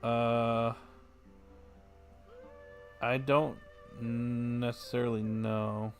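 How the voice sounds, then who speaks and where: A man's voice: mid-range voice, level tone, extremely slow, soft background music. A man livestreaming a video game, chatting casually in a laid-back, musing way. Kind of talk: casual chat while streaming a game.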